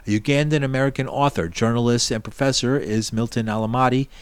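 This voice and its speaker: A man hosting a news show, reading a scripted introduction in a steady, formal way. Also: medium pitch, fast talker, smooth, no echo. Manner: steady, formal read delivery.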